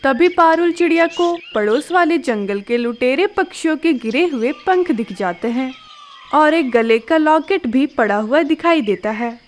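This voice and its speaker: A woman narrating a cartoon story in a steady, clear storytelling manner. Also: high voice, unhurried, a little hiss or hum.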